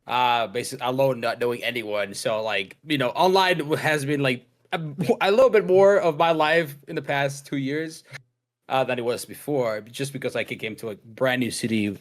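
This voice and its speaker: A man's voice: mid-range voice, moderate pace. A young man from Mexico, a podcast guest talking casually on a video call, rambling with many fillers and restarts. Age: young adult. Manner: rambling, laid-back, lots of fillers and restarts. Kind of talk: casual chat.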